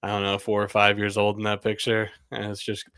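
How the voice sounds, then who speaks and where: A man's voice: on the low side, monotone, brisk, fairly bright tone, smooth, no echo. A young man hosting a casual sports podcast, a little under the weather and rambling with lots of "like" and laughs. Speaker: podcast host.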